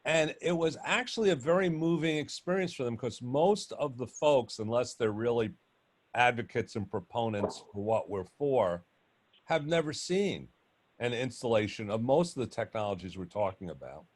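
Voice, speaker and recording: A man moderating an online panel, talking loudly and chattily with many restarts. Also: mid-range voice, animated, moderate pace. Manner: loud, chatty, rambling with restarts. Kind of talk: panel discussion on video call. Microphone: video call.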